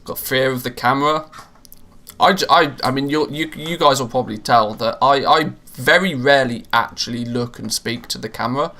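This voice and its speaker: A man's voice: medium pitch, normal speed, bright, forceful tone. A man streaming live and chatting casually with his viewers, rambling with many restarts and fillers.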